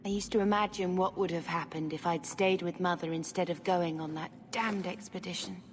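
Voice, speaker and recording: A woman's voice: fairly low pitch, fairly even intonation, a little fast, natural voice. A woman playing a devout, resolute younger sister in a video game, speaking earnestly and reflectively. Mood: earnest, wistful. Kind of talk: dramatic video game cutscene acting. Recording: long reverb, faint ambient noise.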